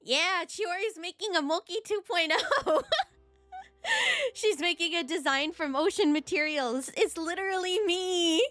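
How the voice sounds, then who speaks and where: A woman's voice: extremely high pitch, very animated, a little slow, a bit bright. A woman giving casual, chatty live commentary on a game playthrough in a playful, giggly manner. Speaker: game streamer.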